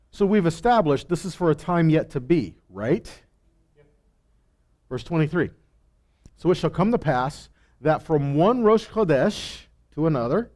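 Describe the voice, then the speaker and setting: A man's voice: fairly high pitch, darkish tone. A man teaching scripture in a sermon, emphatically asking rhetorical questions, repeating phrases and answering himself.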